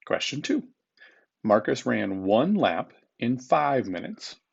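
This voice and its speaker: A man's voice: medium pitch, very animated, slow, gravelly, slightly rough, highs cut off. A man speaking as a teacher, reading test questions aloud to students in an informal, instructive manner and repeating them.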